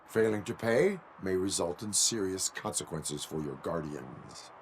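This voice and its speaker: An actor playing a stoic, rigidly lawful cyborg police officer in a video game, speaking in clipped, formal, deadpan phrases. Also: male voice, low-pitched, a little slow, background noise. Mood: commanding, cold. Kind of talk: video game cutscene acting.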